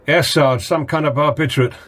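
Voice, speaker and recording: An actor playing a middle-aged, seasoned policeman with a husky voice, steady and firm, in a radio drama. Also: a little high, fairly quick, a little hiss or hum, natural voice. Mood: urgent, resolute. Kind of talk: radio drama acting with first-person narration.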